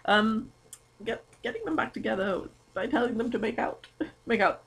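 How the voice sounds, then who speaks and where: A woman's voice: fairly high pitch, animated, moderate pace, vocal fry, a crowd in the background. A young woman giving a comic, mock-serious reading of fan fiction, giggly and chatty. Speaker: amateur reader.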